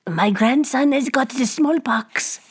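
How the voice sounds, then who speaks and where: A woman's voice: sits high, slow, breathy edge, voice pushed up, exaggerated voice. A woman voicing a coarse, mocking old hag, a grandmother who drives a cart, in a storybook reading. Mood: sly, gloating. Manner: coarse, taunting, jeering.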